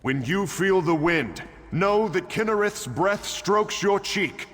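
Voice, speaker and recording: In long, ringing reverb, a solemn priest preaching in a video game. His male voice is notably high as he delivers his scripted sermon. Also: lively intonation, slow pace, fairly bright tone, exaggerated voice.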